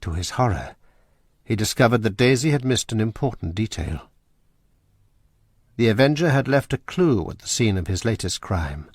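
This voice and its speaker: A man narrating a radio drama in a measured, grave manner. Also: fairly low pitch, no echo, clean studio sound. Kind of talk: scripted narration.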